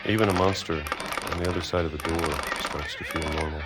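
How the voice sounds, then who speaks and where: A middle-aged man playing a weary, resigned recluse in a video game, speaking slowly and haltingly in a contemplative monologue. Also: sits low, steady tone, close to an everyday voice. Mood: wistful, resolved. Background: prominent music.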